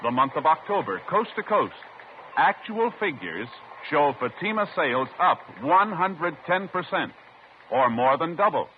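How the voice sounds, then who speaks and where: Animated, punchy male vintage radio announcer. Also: a little high, a little slow. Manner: formal, emphatic, punchy phrasing. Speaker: program and commercial announcer. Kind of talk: 1950s radio announcing, scripted ad copy. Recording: muffled, faintly hissy vintage radio recording.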